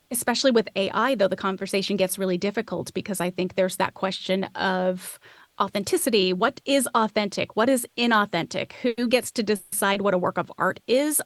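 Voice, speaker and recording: Woman, medium pitch, reflective guest expert in conversation, thinks aloud, very dry recording. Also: dry recording. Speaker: podcast host as guest expert. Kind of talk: conversational interview answers.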